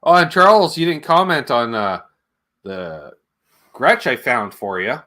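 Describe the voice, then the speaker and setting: A man hosts a relaxed livestream chat, reading out comments and drifting into asides. His pitch is animated and swings widely, in an ordinary middle register for a man. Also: measured pace. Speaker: livestream show host.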